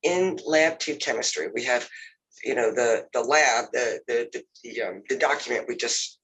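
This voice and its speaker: Male instructor with a cold, nasal and husky, loose and filler-heavy delivery, computer mic. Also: mid-range voice, normal speed, poor audio. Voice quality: nasal, congested. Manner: relaxed, filler-heavy, says "you know" often. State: has a cold. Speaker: lab instructor. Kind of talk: casual recorded lab walkthrough over a video call.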